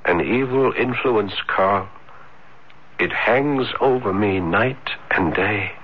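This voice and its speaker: An actor playing a tormented young student, earnest and intense, in a theatrical American radio drama style. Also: male voice, lowish voice, takes it slowly, breathy edge. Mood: haunted, intense. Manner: intense, theatrical, hesitant at times. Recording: muffled vintage radio drama recording.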